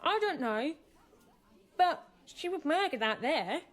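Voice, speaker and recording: Sullen teenage girl, deaf, high voice, blunt and determined, radio drama. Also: sing-song, slow, exaggerated voice. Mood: searching. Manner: blunt, terse. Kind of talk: naturalistic radio drama acting.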